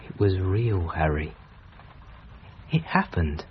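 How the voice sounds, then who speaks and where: An actor in a radio drama playing a loyal, idealistic middle-aged travelling companion, earnest and pleading. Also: male voice, deep voice, very animated, takes it slowly, heavily acted. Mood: impassioned. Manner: earnest, urging delivery. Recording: dull, slightly noisy radio recording.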